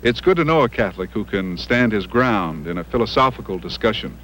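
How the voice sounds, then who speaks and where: Low-voiced, genteel professor. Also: male voice, expressive, a little fast, fairly bright tone, slight background noise. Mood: pleased, formal. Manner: formal, polished. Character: genteel, self-assured university professor. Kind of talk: acted documentary-style interview.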